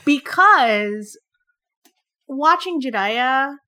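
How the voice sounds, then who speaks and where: A lively young woman from the Philippines speaks with an American accent, her voice sitting a little high. She talks bluntly and casually, swearing freely as she co-hosts a chat about a TV show. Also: drawn-out words. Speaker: podcast co-host.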